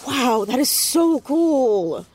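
A woman's voice: high-pitched, sing-song, drawn-out words, a crowd in the background, exaggerated voice. A woman playing an earnest, inquisitive fourteen-year-old girl amateur detective in a naturalistic audio drama. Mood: upbeat, curious.

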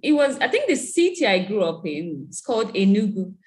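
A Nigerian woman speaks as a guest in a casual interview. She is easygoing and laughs often as she talks. Her voice sits at a middle pitch for a woman. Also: big pitch swings, brisk, a little mellow. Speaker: guest, chief executive.

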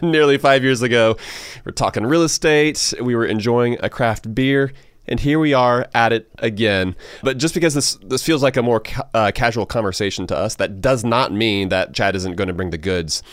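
A man's voice: medium pitch, dry recording. Casual male podcast host, close dry sound. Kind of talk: casual conversational interview.

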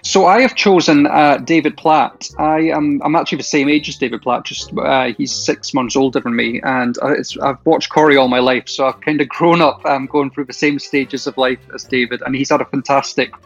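A man's voice: mid-range voice, steady tone, normal speed, few pauses, lo-fi sound, faint music behind. A man in his thirties with a Shetland accent, a friendly, chatty quiz contestant who hesitates now and then. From Shetland Isles, Scotland. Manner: friendly, chatty, laughs often, some hesitation. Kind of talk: casual interview answers.

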